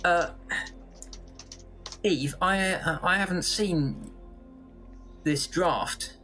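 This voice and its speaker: Halting, flustered man in charge acted. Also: sits high, pushed and bright, faint music behind, heavily acted. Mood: hesitant. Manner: halting, repeats himself, sighing. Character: flustered, cautious male station manager. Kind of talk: improvised conversational sci-fi drama.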